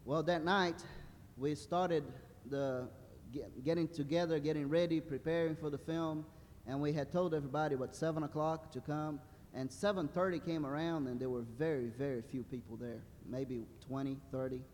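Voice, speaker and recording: Man in his thirties, halting wry testimony, slightly high voice, echoey hall with faint rumble. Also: steady tone, a little mellow. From Brazil. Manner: hesitant, many fillers, wry humor. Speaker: mission trip translator. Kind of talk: church testimony. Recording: reverberant hall with low rumble.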